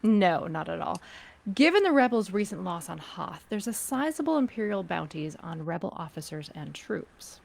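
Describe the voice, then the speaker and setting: A woman playing a cool, commanding crime-syndicate agent in a script table read, speaking in a measured, formal way. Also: lowish voice, sing-song, gravelly, exaggerated voice. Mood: cool, businesslike.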